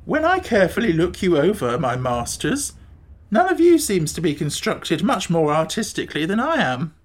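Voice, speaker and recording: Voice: mid-range voice, wildly swooping pitch, normal speed. A storybook narrator voicing a gloomy, indifferent flying creature with an animal head. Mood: aloof, careless. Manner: indifferent, careless, mournful.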